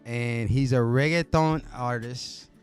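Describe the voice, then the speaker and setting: A man's voice: mid-range voice, fairly even intonation, slow pace, faint music behind. Upbeat host man, slangy. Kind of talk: casual interview. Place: studio.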